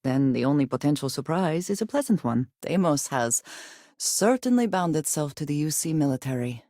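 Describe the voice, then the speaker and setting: A woman voicing a guarded, earnest space explorer in a video game, with measured, formal phrasing and few contractions. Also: low-pitched, lively intonation, dark tone, no echo, clean studio sound. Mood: wary, reflective. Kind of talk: scripted video game companion dialogue.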